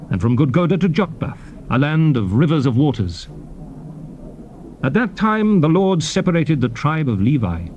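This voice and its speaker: An actor playing a solemn Hebrew prophet and leader, addressing his people in formal, declamatory scripture oratory with measured phrasing. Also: medium pitch, darkish tone, lightly acted. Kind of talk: dramatized scripture reading, formal theatrical oratory. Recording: noisy old cassette tape transfer.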